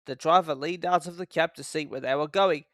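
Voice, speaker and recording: A man's voice: on the high side, fairly quick, a bit bright, dry recording, clean studio sound. A man reading a scripted children's story as the narrator.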